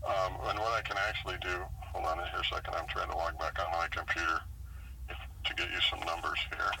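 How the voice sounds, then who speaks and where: A man speaking as a police sergeant on a phone call, procedural and halting, repeating and checking details. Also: low voice, flat delivery, gravelly, sharp tone. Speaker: police sergeant handling a complaint. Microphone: recorded phone call. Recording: noisy telephone line.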